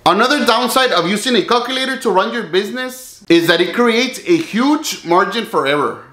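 A man in his thirties hosting a business vlog, speaking to camera in a steady, earnest scripted delivery from a home office. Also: sits high, normal speed, roomy sound. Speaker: business owner and channel host. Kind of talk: scripted talking-head vlog.

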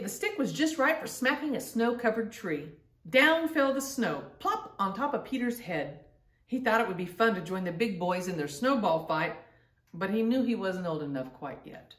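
A middle-aged woman hosts a storytime for small children from her living room. She moves between reading aloud and simple, direct asides and questions to her young listeners. Her pitch sits in the middle for a woman, and the microphone is some distance off, with plenty of room echo around her voice. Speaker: storytime host reading to grandchildren. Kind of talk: children's picture-book read-aloud with chatty asides. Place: living room at home, indoors.

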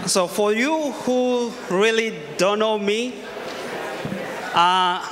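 A man's voice: sits high, very slow. A young man from Rwanda giving a hesitant, plain-spoken personal testimony on a church stage with a handheld mic. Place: large church sanctuary, on stage. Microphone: handheld microphone. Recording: long hall reverb, faint noise.

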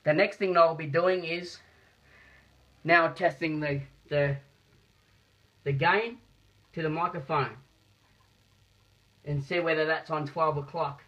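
A man giving a step-by-step practical demonstration in a deliberate, halting way, repeating his phrases, picked up by a phone camera in a small room. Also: on the high side, steady tone, bright, forceful tone. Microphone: phone camera held nearby. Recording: muffled phone video sound.

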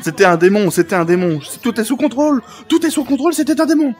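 A man streaming a VR roleplay, joking loudly and laughing often. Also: extremely high pitch, sing-song, quick pace. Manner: joking, loud, bursts of laughter. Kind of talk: playful in-character roleplay banter. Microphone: VR headset mic.

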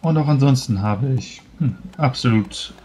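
A man playing a polite, self-assured young scholarly mage in a tabletop game, speaking formally and deliberately with hesitations. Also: mid-range voice, mellow, a little hiss or hum, natural voice. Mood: cautious assurance. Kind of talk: tabletop roleplay in character.

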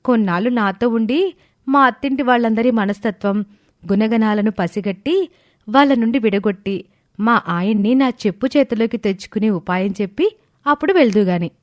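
A woman's voice: on the high side, normal speed, dry recording, clean studio sound, natural voice. A woman voicing a timid young bride in a cartoon family drama, hesitant and pleading. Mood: persuading. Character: timid, slow-witted young bride seeking her sister's help.

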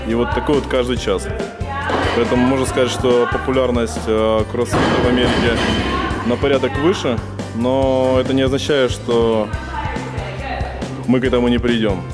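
A man's voice: mid-range voice, normal speed. Young man touring an echoey gym. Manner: relaxed, thinking aloud with fillers and restarts. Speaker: weightlifting vlogger touring a gym. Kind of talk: casual walking tour commentary. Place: large gym hall indoors. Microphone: handheld camera at close range. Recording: echoey gym hall with loud music. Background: loud music.

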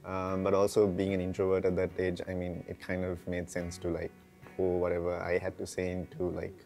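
Very low-voiced Sri man. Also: monotone, brisk, gravelly, warm tone. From Sri Lanka. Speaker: interview guest, indie singer-songwriter. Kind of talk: casual interview talk. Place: large brick-walled room with big windows, indoors. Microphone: lapel mic. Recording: music behind the voice. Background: guitar music.

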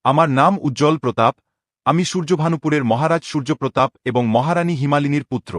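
A man voicing a solemn, respectful young prince in an animated story, speaking formally and gravely. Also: a little high, brisk, dry recording, clean studio sound. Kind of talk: dramatic Bengali animated story dialogue.

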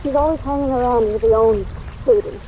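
A woman's voice: a little high, a little fast, highs cut off, natural voice. A woman playing an anxious, confiding young woman in an old radio drama, earnest and uneasy. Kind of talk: 1940s-50s radio drama acting. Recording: old radio recording under rain noise.

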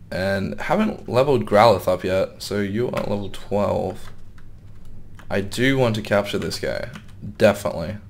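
A young man with a fairly low voice talks through a game he is playing, wondering aloud and muttering to himself. His throat is a little sore, and his voice is starting to go. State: slightly sore, losing voice. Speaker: gaming YouTuber. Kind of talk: casual gameplay commentary.

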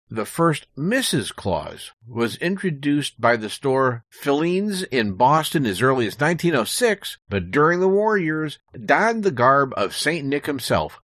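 A man's voice: mid-range voice, fairly bright tone, no echo, clean studio sound. A man speaking as a podcast guest, giving an explanatory history talk in an interview. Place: small recording booth.

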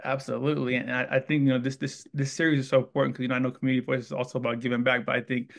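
A Texan man hosts an interview at a quick clip, gushing and piling phrase on phrase. His voice sits at an ordinary middle pitch and comes close on a studio boom mic in a small room. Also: level tone, gravelly. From Texas. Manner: enthusiastic, effusive and rambling. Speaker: host. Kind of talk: interview hosting. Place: indoor studio room with wall art. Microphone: studio mic on boom arm.

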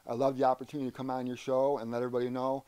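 A mature American man with a mellow, soft-edged voice at an average pitch. He gives casual interview answers, earnest and a little halting, often doubling words. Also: completely flat delivery, smooth. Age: middle-aged or older. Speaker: interview guest, aspiring rock singer. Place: wooden sunroom indoors.

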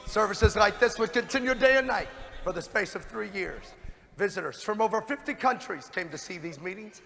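A man performs a proud stage narrator recounting a historic revival in declamatory style. His voice is high, bright and forceful, with a creaky edge. It carries through a big reverberant hall. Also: lively intonation, moderate pace, heavily acted. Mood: grand, proclaiming. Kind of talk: theatrical scripted narration. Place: large theatre stage, indoors. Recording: echoey large theatre hall.

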